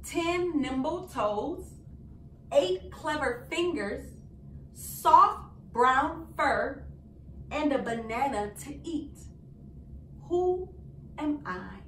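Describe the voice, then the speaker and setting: A young woman reading a picture book aloud to young children in an animated, sing-song way with deliberate phrasing. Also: high-pitched. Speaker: children's storyteller. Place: small indoor room. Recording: roomy, distant mic, faint noise.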